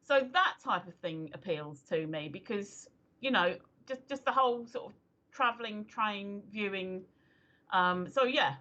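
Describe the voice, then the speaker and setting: A woman's voice: medium pitch, animated, unhurried, sharp tone. Hesitant woman around fifty, slightly lo-fi. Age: 50s. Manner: hesitant, full of ums, laughs easily. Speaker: co-host of a livestream talk show. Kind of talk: casual chat. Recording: slightly dull lo-fi audio.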